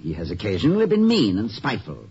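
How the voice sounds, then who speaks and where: A man's voice: lowish voice, wildly swooping pitch, fast talker, a little mellow. A polite, wry college president in a vintage radio comedy. His melody swings widely as he hesitates through ornate phrases. The old recording is muffled, with a light hiss. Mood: mild, thoughtful. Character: mild, urbane, gently witty male college president. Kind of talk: 1950s radio comedy acting.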